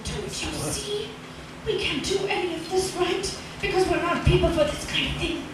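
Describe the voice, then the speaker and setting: A woman's voice: very high voice, normal speed. A woman playing a frightened, reluctant wife in an old-time radio drama, anxious, hesitant and pleading. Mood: fearful, pleading. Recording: very echoey, distant, loud background noise.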